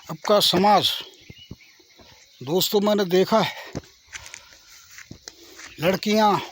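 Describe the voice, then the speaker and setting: A man from the Garhwal hills talks to his viewers in with a tinge, slow and earnest, calling them friends. His voice sits fairly high. It is recorded on a phone outdoors, with faint insects and countryside sound behind him. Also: a little hiss or hum. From Garhwal, Uttarakhand. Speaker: vlogger. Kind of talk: singing then casual vlog talk.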